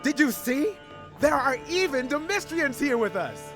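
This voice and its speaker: A man playing an excitable, admiring citizen in a fantasy video game, eager and exclamatory. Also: very high voice, a little slow, exaggerated voice. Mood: jubilant. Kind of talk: video game character acting. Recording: music behind the voice.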